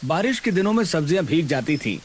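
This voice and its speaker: A man's voice: fairly high pitch, very animated, quick pace, a little hiss or hum. A man giving scripted narration for a family story in storybook style.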